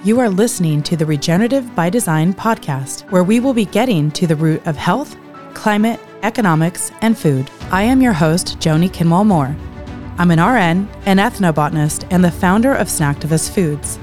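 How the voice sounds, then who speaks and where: A woman's voice: fairly low pitch, lively intonation, normal speed, a little mellow. Podcast host woman with faint music behind. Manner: upbeat, listing phrases. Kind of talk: scripted intro then interview chat.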